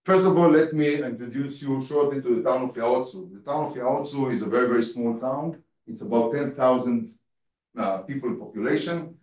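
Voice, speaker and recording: A man's voice: medium pitch, normal speed, a little mellow. A man whose first language is Hebrew gives a public lecture in English, hesitant, with many fillers and restarts. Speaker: guest lecturer. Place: lecture hall. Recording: echoey hall from far off.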